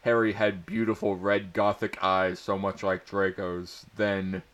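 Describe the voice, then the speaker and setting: Guy reading bad fanfic for laughs, lowish voice, takes it slow, trips over words. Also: little pitch movement, a bit bright. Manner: often breaking into giggles and stumbling over words. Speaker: reader of fan fiction. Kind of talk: comedic dramatic reading of bad fan fiction.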